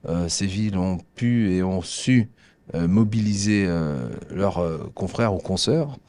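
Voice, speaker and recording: A man giving formal public remarks as an agency representative, speaking haltingly with frequent hesitations and repeated words. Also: deep voice, mellow, dry recording.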